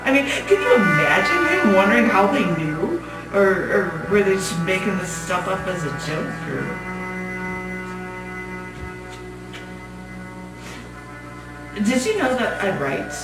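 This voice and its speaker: A woman performing a live stage monologue as a restless, bitter imprisoned woman, rambling and defensive in a halting, conversational way. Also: mid-range voice, sing-song. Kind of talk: dramatic monologue read on stage. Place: small cabaret venue, live show. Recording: distant echoey live venue, loud music behind. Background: loud music.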